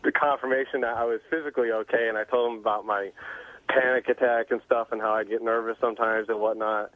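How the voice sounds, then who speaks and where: A man on a radio talk show, nervous and stammering as he explains himself in halting bits. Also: medium pitch, steady tone, no echo. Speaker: show staffer. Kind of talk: casual radio chat. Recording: tinny phone-like line.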